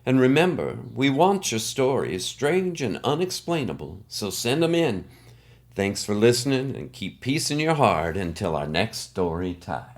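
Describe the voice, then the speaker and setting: A man's voice: medium pitch, very animated, measured pace. A man hosting a podcast with scripted narration. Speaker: podcast host.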